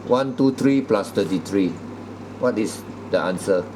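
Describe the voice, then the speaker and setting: Male interviewer, repetitive questioning, huh and lah tags, clearly noisy room with air-conditioner hum. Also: low voice, expressive, a little slow. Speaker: job interviewer screening domestic workers.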